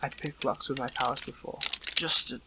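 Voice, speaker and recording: A man playing a weary, defiant young superhero in a dramatic audio roleplay, speaking haltingly and broodingly to the listener. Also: medium pitch, fairly even intonation, very noisy, close to an everyday voice.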